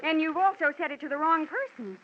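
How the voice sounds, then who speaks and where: A woman's voice: sits high, fast, lightly acted. A woman playing a spirited, proud young pig breeder in an old radio drama, pert in manner. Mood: indignant. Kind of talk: 1940s-50s radio drama acting. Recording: dull vintage radio recording, faint noise.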